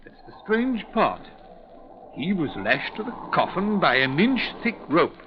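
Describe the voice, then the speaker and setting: Casting: inquisitive ship's captain, authoritative, higher lively voice, old-time radio drama, dull recording. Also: male voice. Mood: curious, probing. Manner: deliberate, probing, slightly halting. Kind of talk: 1940s radio acting. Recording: muffled, faintly noisy 1940s radio broadcast.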